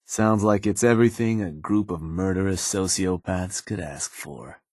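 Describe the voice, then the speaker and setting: Low, husky, sardonic raider advisor. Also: male voice, normal speed, darkish tone, no room sound. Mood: dryly approving. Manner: laconic, folksy, matter-of-fact menace. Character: shrewd, sardonic male raider gang advisor, coolly ruthless. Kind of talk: video game character dialogue.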